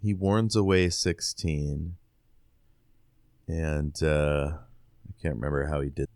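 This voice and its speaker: A man co-hosting a podcast, casually recapping and discussing a book in a halting way. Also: rumbling low, steady tone, darkish tone, dry recording. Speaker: podcast co-host.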